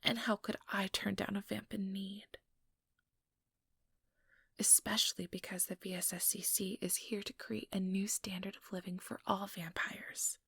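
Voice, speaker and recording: A woman voicing an ambitious, manipulative female vampire in a breathy, measured whisper for an ASMR role-play, close to the microphone. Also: mid-range voice, a bit bright, no room sound, exaggerated voice. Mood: smooth persuasion. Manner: measured, condescending, persuasive.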